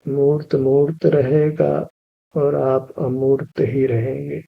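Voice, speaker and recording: A man guides a meditation at a very slow, unhurried pace, his voice heavy with gravelly fry. The tone is husky rather than clear. The recording sounds dull and lo-fi. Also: mid-range voice. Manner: slow, even, instructive, repeats key phrases. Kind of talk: guided meditation with spiritual teaching.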